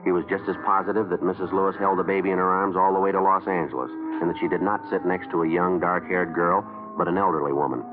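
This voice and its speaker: An actor playing a calm, businesslike police sergeant in a terse, matter-of-fact way in a 1950s radio police drama. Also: male voice, sits low, fast talker, few pauses, close to an everyday voice. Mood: deadpan narrating. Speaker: narrator and lead actor. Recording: muffled old radio broadcast with music.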